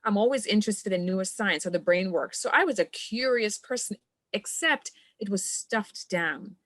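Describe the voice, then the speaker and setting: A woman's voice: medium pitch, animated, normal speed, fairly bright tone, no echo. A woman from Trinidad, a coach, speaking as a podcast guest in an earnest, reflective way and laughing often. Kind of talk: podcast conversation.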